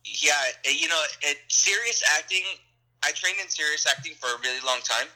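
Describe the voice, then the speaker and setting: A man's voice: a little high, normal speed, pushed and bright, low-quality recording. A young adult man, an actor, answering interview questions in a friendly, rambling way with frequent laughs and restarts.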